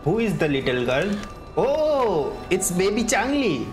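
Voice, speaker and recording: A man doing casual playthrough commentary as a gaming streamer, halting as he thinks aloud. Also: high-pitched, sing-song. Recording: game music behind voice. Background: video game music.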